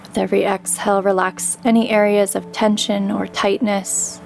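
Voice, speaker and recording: A woman leading a guided meditation with calm, gentle instruction and pauses between phrases. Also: mid-range voice, gravelly. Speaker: meditation guide, yoga teacher. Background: background music.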